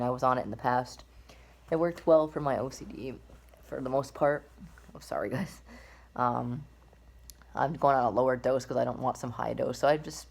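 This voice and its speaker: A man's voice: medium pitch, vocal fry. A young man in his twenties rambling casually to a webcam in a small bedroom, with many fillers and restarts. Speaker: vlogger. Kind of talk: casual personal vlog.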